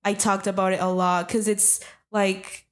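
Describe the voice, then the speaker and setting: A woman's voice: mid-range voice, flat delivery, a little slow. Hesitant woman. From Mexico. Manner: hesitant, many fillers and restarts. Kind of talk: casual chat.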